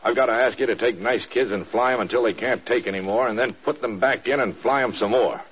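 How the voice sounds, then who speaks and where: An actor playing a grave, weary military commander, speaking earnestly and soberly in a radio drama. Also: male voice, medium pitch, slightly rough, natural voice. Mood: grave, urgent. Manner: earnest, measured, sombre. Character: grave, weary senior male commander confiding in a subordinate. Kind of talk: mid-century radio drama acting. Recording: dull old radio recording.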